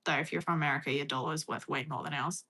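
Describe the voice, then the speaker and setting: Chatty bright Australian woman streamer. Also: sits low, steady tone, very fast. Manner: chatty, reads and answers viewer comments. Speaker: livestream host. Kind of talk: casual livestream chat.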